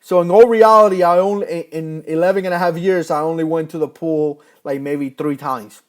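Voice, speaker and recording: A man's voice: on the high side, fairly even intonation, normal speed. A man in his thirties, born in Cuba, chatting casually on a livestream as a former Marine, rambling and correcting himself as he reads viewer comments. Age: 30s. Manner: rambling, self-correcting, storytelling.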